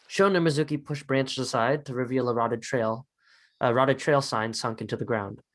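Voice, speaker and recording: A man narrates a scripted drama in a mid-pitched voice. He reads out scene headings and stage directions as the narrator.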